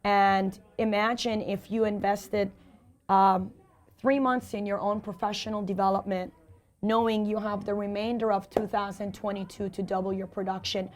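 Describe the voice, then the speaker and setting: A woman with a hoarse voice, a sales and leadership coach answering interview questions in an emphatic, motivational way, often repeating key phrases. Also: medium pitch, flat delivery, moderate pace.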